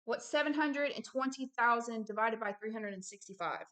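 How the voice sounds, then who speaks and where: A woman with a fairly high voice delivers religious commentary, moving between reading aloud and her own explanations. She is emphatic and often repeats a phrase to drive it home. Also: normal speed, fairly bright tone. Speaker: host, self-described prophet reading a book aloud with commentary.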